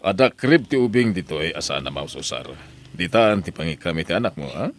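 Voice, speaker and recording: A man playing a fatherly older boss in an Ilocano audio drama, gentle and unhurried, with an Ilocano accent. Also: low-pitched, animated. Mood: kind, reassuring. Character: kindly, fatherly older widowed restaurant boss.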